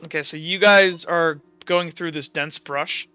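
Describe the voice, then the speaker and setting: A man narrating a tabletop role-playing game as game master, improvising in a casual, halting way. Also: fairly high pitch, fairly even intonation, pushed and bright, clear, resonant tone, muffled recording. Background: faint music.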